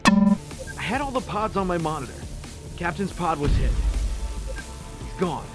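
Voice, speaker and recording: A man's voice: high-pitched, expressive, measured pace, over music. A man playing a terse, commanding starship officer who speaks urgently over the comm in an audio drama. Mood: grim. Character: brisk, urgent male starship officer, rival crewmate.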